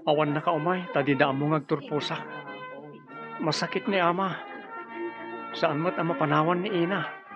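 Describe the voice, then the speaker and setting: A man's voice: fairly high pitch, fairly even intonation, moderate pace, pauses often, highs cut off, over music, close to an everyday voice. An actor playing an earnest, respectful, peace-loving young man fresh from graduation in an Ilocano radio drama. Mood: earnest, pleading.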